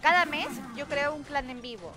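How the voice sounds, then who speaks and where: A woman's voice: high-pitched, moderate pace. A young woman live-streaming a game from home, chatting casually, thanking viewers and reading their messages aloud with frequent giggles. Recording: clear game music underneath. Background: game music.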